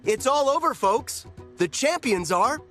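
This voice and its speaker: A man voicing an excitable match announcer in a video game, calling the result with dramatic showmanship. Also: very high-pitched, measured pace, over music. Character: excitable, booming male match commentator. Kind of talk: video game character acting, arena announcing.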